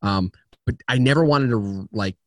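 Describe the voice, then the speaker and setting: A man talking casually as a guest on a video-call interview from home, chatty and lively as he tells stories about himself. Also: mid-range voice, dry recording. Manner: chatty storyteller, quick and animated.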